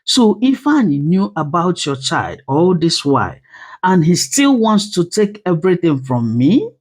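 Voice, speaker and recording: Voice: mid-range voice, big pitch swings, unhurried, slightly rough. A narrator voicing a wronged, determined mother, firm and earnest, in a story. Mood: wary. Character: wronged, determined widowed mother, wary but resolute.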